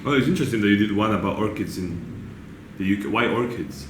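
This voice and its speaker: A man interviewing a guest in a relaxed, hesitant way, asking curious questions with frequent restarts. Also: lowish voice, fast talker. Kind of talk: casual interview chat. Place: studio room. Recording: echoey room with faint background noise.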